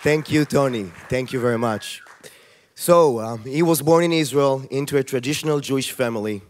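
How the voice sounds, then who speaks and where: Male moderator in his thirties, mid-pitched, halting introduction on a handheld mic. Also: some chatter behind. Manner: hesitant, many fillers. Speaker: event moderator. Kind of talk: speaker introduction.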